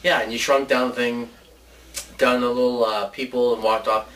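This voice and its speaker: A man's voice: mid-range voice, level tone, moderate pace, fairly bright tone. A man co-hosting a casual chat show, sharing ideas in an informal, rambling way. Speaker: co-host of a movie ideas show. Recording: distant mic with faint background noise.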